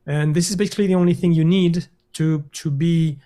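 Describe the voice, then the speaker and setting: A man, a guest developer, walking through a screen-share software demo over a video call, hesitant with many fillers and restarts. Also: on the high side, fairly even intonation, fairly quick.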